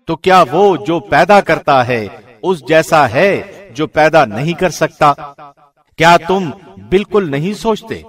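A man reading a scripture translation formally, with measured, solemn and carefully phrased delivery. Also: fairly high pitch, expressive, fairly bright tone. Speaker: professional radio host reading translation.

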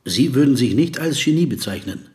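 A man playing a reserved, observant interviewer in a radio play, narrating and questioning in a measured, restrained way. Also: mid-range voice, lively intonation, darkish tone, breathy edge, no room sound. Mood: probing, restrained. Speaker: interviewer and first-person narrator. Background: music.

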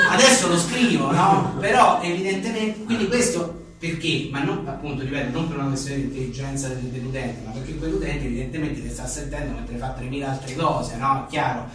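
Echoey, distant man talking in halting bursts. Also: on the high side, a bit bright. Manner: hesitant, rambling, peppered with tag questions. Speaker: journalist and writer being interviewed. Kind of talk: conversational book interview. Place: echoey venue at a live book presentation. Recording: echoey room, distant mic, faint noise.

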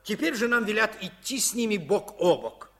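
An irritable, opinionated father in an old radio play. His voice is high, bright and sharp, and it swings widely as he grumbles and blusters. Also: big pitch swings, normal speed, heavily acted. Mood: indignant. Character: middle-aged French father, irritable and opinionated. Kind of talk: 1950s radio play acting.

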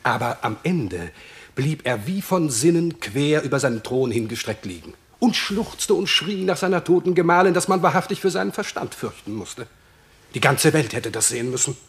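An actor playing an eloquent, effusive court steward in a theatrical radio play, florid and emphatic. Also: male voice, fairly high pitch, expressive, moderate pace. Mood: effusive, dramatic.

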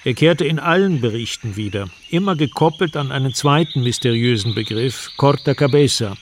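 Man, medium pitch, sober radio drama narrator, scripted storytelling, faint insect ambience. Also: animated, normal speed, a little hiss or hum.

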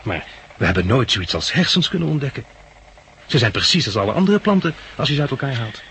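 A man's voice: mid-range voice, very animated, fast talker, a bit bright, a little hiss or hum. An actor in a 1960s radio drama playing a dry, sardonic man who narrates and speaks as a patient. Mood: sceptical, dry. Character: bandaged male hospital patient and narrator, wry, sardonic.

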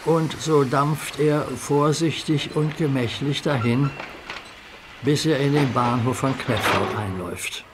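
A man narrating a children's audio drama in a calm, measured storytelling manner. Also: mid-range voice, noisy background.

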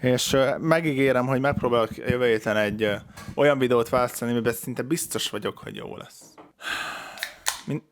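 Halting man, casual commentator. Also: mid-range voice, a little hiss or hum. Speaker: host of a video reaction show.

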